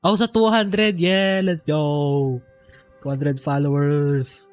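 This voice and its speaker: A man's voice: on the high side, fairly even intonation, slow. A Filipino-accented man doing casual, slangy live game commentary, mixing English and Filipino. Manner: slangy, swearing. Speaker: game streamer. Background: game music.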